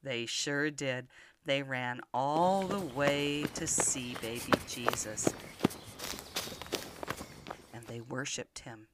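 An older woman telling Bible stories to young children in an expressive manner, often putting simple questions to the listener. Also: extremely low pitch, takes it slowly, long pauses, heavy background noise. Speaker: children's podcast storyteller.

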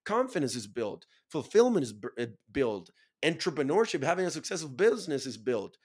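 A man's voice: a little high, big pitch swings, a little fast, a little mellow, husky. A man from Chile hosting a podcast, talking casually and motivationally in an earnest, emphatic, slangy way full of "bro" and "dude". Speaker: podcast host.

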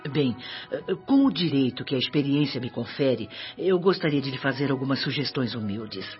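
A woman's voice: very deep voice, lively intonation, moderate pace. An actress playing a kindly older spirit woman in a Brazilian radio drama, affectionate and earnest as she counsels. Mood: earnest advice. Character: kindly motherly older spirit woman giving humble advice. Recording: dull lo-fi, music underneath.

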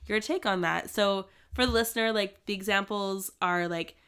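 A woman co-hosting a casual podcast with a stuffy, hoarse voice from a cold. She rambles and fills her speech with "like" and "um". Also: medium pitch, normal speed, a bit bright. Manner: rambling, many fillers like "like" and "um". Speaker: podcast co-host. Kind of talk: casual chat.

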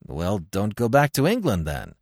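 A man's voice: on the low side, wildly swooping pitch, normal speed, a little mellow, dry recording, voice pushed down, full character voice. A man voicing a blunt, tired male theatre producer who answers in short, dry remarks. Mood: curt. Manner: curt, dry.

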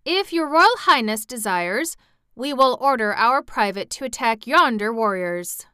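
A woman's voice: fairly high pitch, animated, sharp tone, clear, resonant tone, dry recording, studio quality. Woman doing a smug mustached general. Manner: smug, deliberate. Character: pompous mustached male general.

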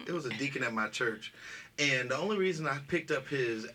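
A man's voice: medium pitch, moderate pace, a little hiss or hum. A man chatting casually and telling a funny story about a church deacon. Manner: animated storyteller, imitates a deacon. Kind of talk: casual chat.

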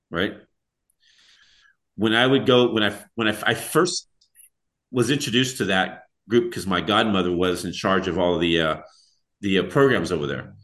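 A man with a deep voice, a podcast guest telling stories in an earnest, plain-spoken way. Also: a bit bright. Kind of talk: casual storytelling.

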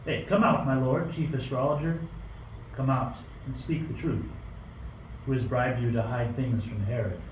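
A man's voice: medium pitch, moderate pace, natural voice. An amateur actor playing a stern, suspicious tyrant king in a radio play, with an imperious, declamatory delivery. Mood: commanding, scornful. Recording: roomy, distant mic, faint noise.

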